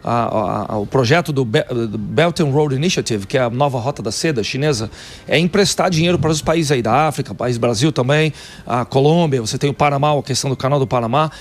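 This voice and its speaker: Paulista man explaining with many fillers. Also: fairly high pitch. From Brazil, São Paulo. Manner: explanatory, fact-listing, with frequent fillers. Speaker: guest, city council member in Texas. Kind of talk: radio talk show interview.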